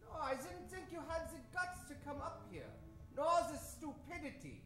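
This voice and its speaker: A woman's voice: high-pitched, very animated, normal speed, a bit bright, roomy sound. A woman playing a bitter, gloating villain in a comic old-time radio melodrama, theatrical and indignant. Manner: theatrical, indignant, grandiose cursing.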